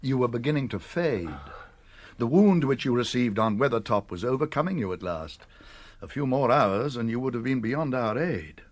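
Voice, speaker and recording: A British man, an older actor playing a wise, grave but kindly old wizard in a radio drama, with a measured, authoritative delivery. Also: mid-range voice, lively intonation, no room sound, natural voice.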